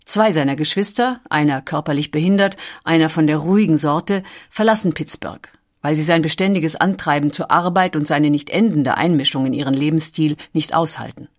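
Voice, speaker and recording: Female narrator, lowish pitch, scripted audiobook read, documentary style, studio recording. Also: brisk.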